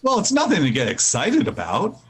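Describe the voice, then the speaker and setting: A man playing a good-natured, oblivious husband in an old-time radio comedy, boyish and animated. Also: a little high, a little fast, husky, full character voice. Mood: breezy. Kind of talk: 1940s radio comedy acting.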